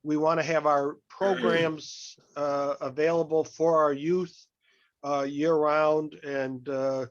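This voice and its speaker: A male council candidate answering at a public forum, hesitant and pausing often in mid-phrase. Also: a little high, little pitch movement. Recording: dull, lo-fi forum recording.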